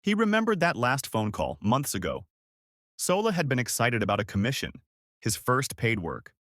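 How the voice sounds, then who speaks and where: Male storyteller, lively pitch, dry sound. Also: moderate pace, no room sound. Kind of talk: scripted story narration.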